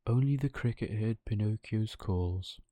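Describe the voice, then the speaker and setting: A man's voice: on the low side, unhurried, dark tone, no room sound. A man narrating a children's bedtime story as a storyteller.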